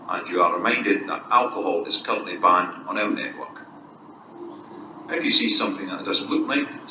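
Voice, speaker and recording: Male announcer, scripted public information, formal read, far from the mic with clear noise around him. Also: low voice. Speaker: recorded station announcer. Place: railway station, heard over public address speakers. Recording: distant, echoey, noisy station tannoy. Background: station ambience and noise.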